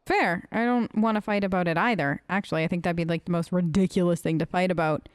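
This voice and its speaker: Smooth-voiced woman doing casual game role-play. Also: on the low side, fairly even intonation, quick pace, no room sound, studio quality. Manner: conversational, improvising in character. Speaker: gaming host.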